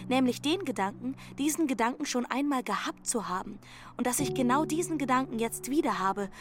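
A woman's voice: a little high, natural voice. A woman playing a dreamy, stubborn teenage runaway girl who tells her story intimately in short plain phrases in a radio drama. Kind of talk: first-person radio drama narration with dialogue.

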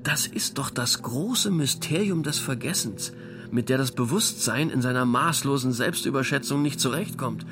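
An actor playing a self-important, pompous witness philosophizing in a radio drama. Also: male voice, mid-range voice, animated, normal speed, background noise, close to an everyday voice. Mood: musing, pompous.

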